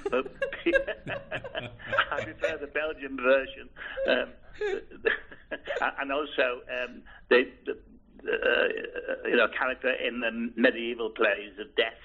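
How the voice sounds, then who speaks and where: A man in his eighties from Liverpool, England, recalling his life in a hesitant, halting way as an oral history interviewee. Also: mid-range voice, expressive, vocal fry, sharp tone, husky. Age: 80s. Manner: hesitant, halting, with many restarts. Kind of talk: rambling reminiscence. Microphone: telephone. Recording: over a phone line.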